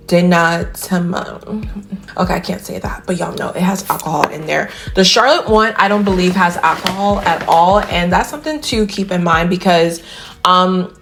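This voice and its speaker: A woman doing a casual beauty product review at home, chatty and talking straight to her viewers, her voice a little raspy and tired. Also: mid-range voice. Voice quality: slightly raspy. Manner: chatty, addresses viewers as y'all. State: tired after a nap. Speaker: beauty vlogger. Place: home room by a window.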